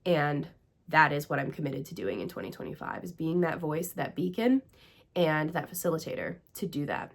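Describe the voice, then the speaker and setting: A woman's voice: low-pitched, expressive, moderate pace, creaky. A woman with an American accent, hosting her own show and talking through her year in a chatty, gushing way with many fillers. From Greece and the United States. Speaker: podcast host and priestess. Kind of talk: casual personal recap talk.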